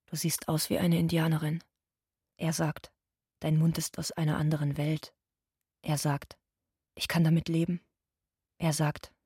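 An actress playing a guarded, bitter migrant woman with a husky voice, speaking in short insistent phrases in a monologue. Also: low-pitched, darkish tone, no echo, studio quality, full character voice. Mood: brooding. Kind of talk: stage-like monologue in audio drama.

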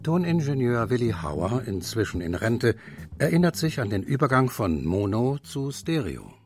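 A man's voice: mid-range voice, big pitch swings, normal speed, a little mellow. A man narrating a radio feature in a composed manner. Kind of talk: scripted narration.

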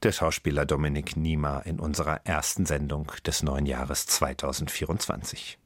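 A male radio presenter reading announcements and introductions in a clear, measured way. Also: a bass voice, flat delivery, no echo.

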